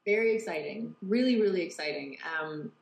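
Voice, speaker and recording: A woman's voice: sits low, expressive, brisk, vocal fry. A Canadian woman with a slight English tinge to her accent, chatting freely as an interview guest with lots of filler words. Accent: Canadian with English traces. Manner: chatty, many "you know" and "like" fillers. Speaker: interview guest, poker TV presenter. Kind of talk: casual interview chat. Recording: echoey room with faint noise.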